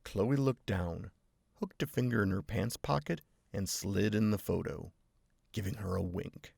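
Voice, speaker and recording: A very deep narrator's voice with heavy gravel and rasp. It is read in a measured, storybook manner, dry and close. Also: darkish tone, dry recording. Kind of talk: scripted narration.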